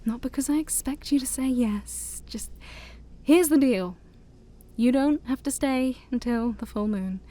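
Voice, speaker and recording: A woman voicing a shy, haughty, ancient demon princess in a soft-spoken ASMR roleplay, breathy and often near a whisper, with formal, theatrical wording and awkward hesitations. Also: high voice, measured pace. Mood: shyly offering.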